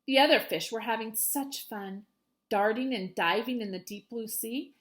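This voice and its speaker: A woman's voice: a little high, normal speed, fairly bright tone. A woman teacher reading a children's storybook aloud expressively to her students.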